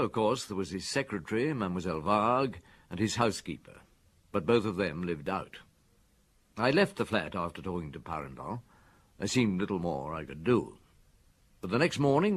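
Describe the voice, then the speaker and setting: An actor playing a seasoned, reserved police chief inspector in an audio drama, with measured, understated delivery. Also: male voice, sits low, breathy edge, exaggerated voice. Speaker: lead actor and narrator. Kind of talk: audio drama acting and first-person narration.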